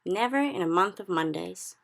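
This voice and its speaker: A woman reading aloud as a big friendly giant, a gentle male creature who muddles his words and drawls along in a slow, lively sing-song. The voice sits a touch low and has a gravelly edge. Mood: stubborn. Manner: slow, odd jumbled grammar. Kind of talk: storybook reading.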